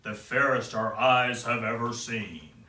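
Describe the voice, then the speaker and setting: A man voicing a solemn magic mirror in a storybook reading, low and grave, in an echoey room away from the mic. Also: takes it slowly, sharp tone, far from the mic.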